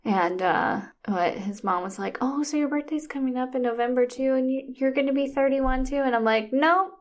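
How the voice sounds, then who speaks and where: A woman's voice: sits high, normal speed. Easygoing woman co-host, about thirty. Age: 30s. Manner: easygoing, playful, supportive. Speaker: podcast co-host. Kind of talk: casual chat.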